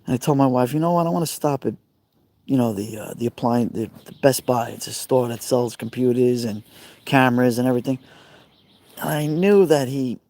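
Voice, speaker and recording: A middle-aged man with a Brooklyn accent talks to his viewers on a phone live stream from beside an outdoor fire pit. His voice is mellow and warm, mid-pitched, and he rambles from one comment to the next. He calls out his viewers by name as he goes. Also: a little fast, no echo. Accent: Brooklyn New York. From Brooklyn, New York.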